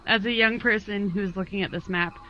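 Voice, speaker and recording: A woman's voice: medium pitch, steady tone, brisk, sharp tone, muffled recording, a little hiss or hum. A woman playing a tabletop game, bantering casually and often cutting in with questions.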